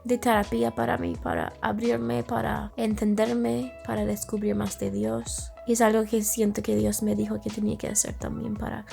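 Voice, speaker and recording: Young irish woman, english first language, fairly high gravelly voice, chats in spanish with a chilean lilt, faint music underneath. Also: completely flat delivery, normal speed, hardly any pauses, dark tone. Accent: Chilean-inflected Spanish learner. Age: young adult. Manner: searches for words, restarts, laughs easily. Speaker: interview guest. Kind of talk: casual storytelling.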